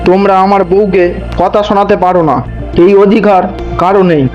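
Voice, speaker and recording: A man's voice: high voice, little pitch movement, fairly quick, a little mellow, close to an everyday voice. A man voicing an irritated, protective younger husband in a fairy-tale cartoon. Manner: complaining. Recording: dull lo-fi cartoon dub. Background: background music.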